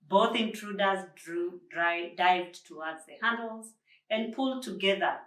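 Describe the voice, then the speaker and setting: Slow-reading woman narrator in an echoey room. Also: mid-range voice, a bit bright. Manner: deliberate, dramatic phrasing with stressed words. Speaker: reader of a children's story. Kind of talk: expressive storybook narration. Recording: roomy echo, distant mic.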